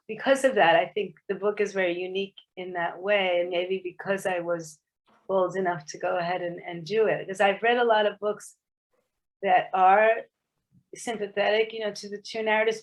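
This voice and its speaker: A woman author speaking to a book club over a video call, thoughtful and hesitant, often restarting her sentences. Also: lowish voice. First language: English. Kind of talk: casual explanatory talk on a video meeting.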